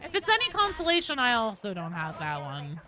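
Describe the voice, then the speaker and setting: A woman's voice: fairly high pitch, wildly swooping pitch, brisk, a crowd in the background. A woman game streamer chatting casually and playfully with her live viewers in an informal way. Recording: clear background noise behind voice.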